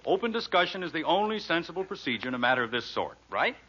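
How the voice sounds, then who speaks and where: A man's voice: fairly high pitch, very animated, fast talker. A man playing a middle-aged, talkative, self-assured American father with a blustery, emphatic delivery in a radio comedy. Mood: jovial, assertive. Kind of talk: 1940s radio comedy acting. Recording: noisy, dull old radio recording.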